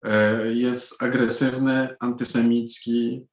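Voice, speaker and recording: A man from Poland, a native Polish speaker, answering as an author in a panel interview, deliberately and with pauses mid-sentence. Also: mid-range voice, flat delivery. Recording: echoey room sound.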